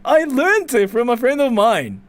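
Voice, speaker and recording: A man's voice: huge pitch swings, a little slow. A Japanese man speaking English as a second language with a Japanese accent, chatting casually as a streamer reacting to a video, rambling with many fillers. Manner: rambling, lots of filler "like", drifting pitch.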